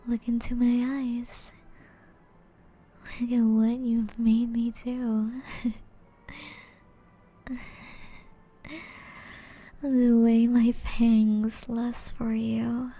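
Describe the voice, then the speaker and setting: Slow, breathy, dark-voiced seductive vampire woman acting. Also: on the high side, completely flat delivery, exaggerated voice. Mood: seductive, teasing. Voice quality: breathy, often dropping into whispers. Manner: slow, teasing, old-fashioned endearments. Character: flirtatious, seductive female vampire, possessive and teasing. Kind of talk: intimate ASMR roleplay.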